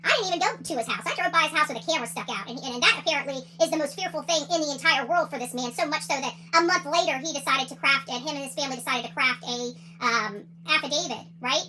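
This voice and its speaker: A woman's voice: sits high, fast, hardly any pauses, sharp tone, low-quality recording. A woman hosting a livestream, giving opinionated commentary in a combative, indignant and emphatic way, often repeating phrases for emphasis. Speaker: livestream host.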